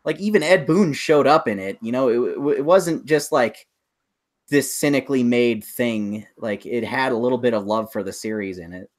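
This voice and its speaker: A man's voice: medium pitch, lively intonation, moderate pace. A man co-hosting a podcast, chatting casually and explaining things in a rambling, enthusiastic way. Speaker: podcast co-host. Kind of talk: casual chat about films and games.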